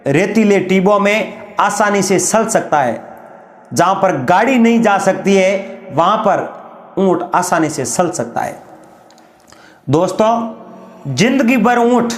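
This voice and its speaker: A man narrating a folk story in an unhurried, drawn-out way, often calling his listeners friends. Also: high voice, echoey room.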